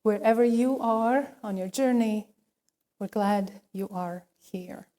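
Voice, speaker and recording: A woman minister speaking in a measured, deliberate way as she leads a worship service and reads liturgy. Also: mid-range voice, dark tone. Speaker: minister leading a church service. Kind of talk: sermon and liturgical reading.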